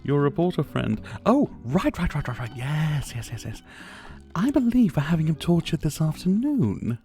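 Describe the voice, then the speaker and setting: A man's voice: on the high side, sing-song, normal speed, dark tone, soft background music. A man acting a flirtatious, possessive dictator in an ASMR roleplay, with a theatrical, teasing, menacing delivery. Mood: amused, menacing.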